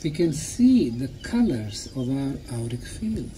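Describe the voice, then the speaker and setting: A man giving a public talk in short, deliberate, emphatic phrases, pausing often for an interpreter. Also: mid-range voice. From Bosnia. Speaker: lecturer. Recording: clear steady background noise.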